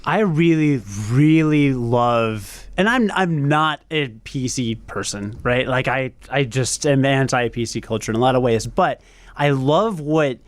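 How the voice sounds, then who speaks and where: A man's voice: medium pitch, lively intonation, unhurried, no room sound. A man in his thirties talking eagerly in a casual podcast roundtable, with frequent restarts. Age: 30s. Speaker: podcast panelist. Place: radio studio.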